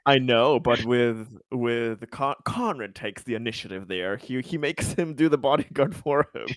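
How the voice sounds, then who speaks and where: A man's voice: medium pitch, normal speed, dry recording. A man chatting casually and jokingly as a tabletop game player, loose with swearing. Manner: animated, casually profane.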